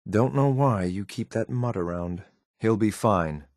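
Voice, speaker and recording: An actor playing a loyal, coldly disdainful synth soldier in a video game, speaking in a clipped, military, deferential but blunt way. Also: male voice, on the low side, a little slow, a little mellow, no room sound, studio quality. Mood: disapproving. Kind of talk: video game companion voice lines.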